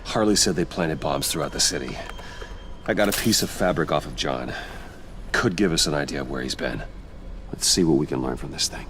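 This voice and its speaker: A man's voice: rumbling low, fairly quick, a bit bright, slight background noise. An actor playing a brooding male vigilante detective in a video game, giving clipped, businesslike, commanding lines. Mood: focused.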